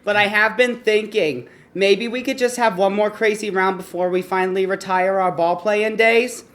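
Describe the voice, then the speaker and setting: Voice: medium pitch, steady tone, moderate pace, fairly bright tone, close to an everyday voice. A performer voicing an exasperated, lustful female toy hippo in a live comedy story reading. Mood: tentative. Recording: noisy live room recording.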